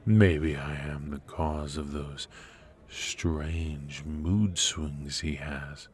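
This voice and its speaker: A menacing dark warlord, played by a man, speaks in a profoundly deep voice. He drags out his words very slowly, silky and taunting, as a theatrical villain in an intimate ASMR fantasy roleplay. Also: fairly even intonation, full character voice. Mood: sly, teasing. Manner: theatrical, taunting, drawn-out delivery with sighs and soft chuckles.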